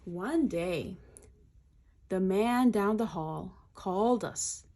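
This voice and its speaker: A woman's voice: mid-range voice, extremely slow, background noise. A woman reading a children's picture book aloud in short, simple phrases, adding explanatory asides.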